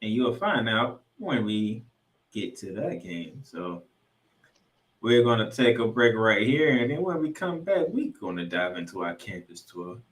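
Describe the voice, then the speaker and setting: A man hosting a sports podcast, giving excitable football commentary in an announcer-like style with drawn-out, emphatic phrases. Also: fairly low pitch. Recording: echoey room, music underneath.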